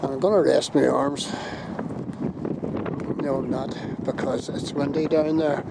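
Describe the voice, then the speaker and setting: A man's voice: medium pitch, little pitch movement, measured pace, vocal fry. A middle-aged man with a Northern Irish accent, chatting casually as he films from a windy hilltop, rambling with fillers and correcting himself. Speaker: vlogger. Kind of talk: casual walking commentary. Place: outdoors on a windy hilltop viewpoint. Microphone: handheld phone camera. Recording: wind noise on a phone mic outdoors. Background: wind.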